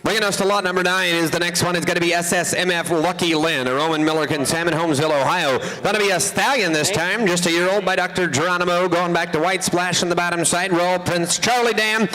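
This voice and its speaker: Man, sale announcer, upbeat pitch, bright voice, long hall echo. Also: fairly even intonation, quick pace, hardly any pauses. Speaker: ringman announcer. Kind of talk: sale announcing and sales talk. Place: horse sale arena.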